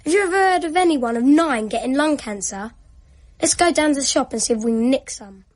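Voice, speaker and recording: A woman's voice: sits high, animated, a little fast, a little mellow. A female performer playing a bold, mischievous nine-year-old child, cocky and taunting, in a radio drama. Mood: bossy teasing.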